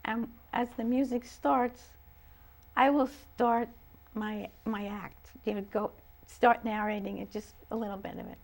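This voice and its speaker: Female interview guest, writer-composer; higher pitch with noticeable vocal fry, halting conversational delivery. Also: fairly even intonation. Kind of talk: casual interview talk.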